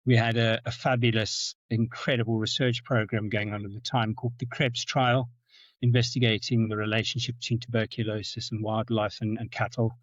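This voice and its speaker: A middle-aged man with a Zimbabwean-South African accent, telling stories as a podcast guest, often repeating words and jumping up in pitch for anecdotes. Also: level tone, a little fast, dry recording. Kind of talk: storytelling in conversation. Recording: dull, dry lo-fi sound.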